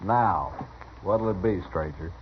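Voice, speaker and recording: An actor playing a surly, contemptuous American saloon bartender in a radio western, with a gruff, husky voice. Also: male voice, low voice, slow pace, slight background noise. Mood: curt. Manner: gruff, scornful. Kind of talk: 1950s radio western acting. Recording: muffled vintage radio recording.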